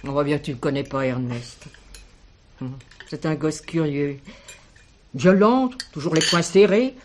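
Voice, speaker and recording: An actress playing an older village schoolteacher in a French radio drama, kindly and protective, speaking in a measured, expressive way. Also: female voice, low-pitched, noisy background. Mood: protective, insistent.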